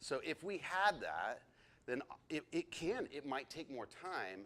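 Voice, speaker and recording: A man in his thirties teaching a leadership lesson to a group, excitable and chatty, often asking the group questions. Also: fairly high pitch, measured pace. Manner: excitable, talks a lot, asks the group questions.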